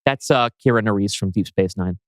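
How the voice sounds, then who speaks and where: A man's voice: lowish voice, a little fast, no room sound, clean studio sound. A man reading scripted screenplay narration as writer and narrator.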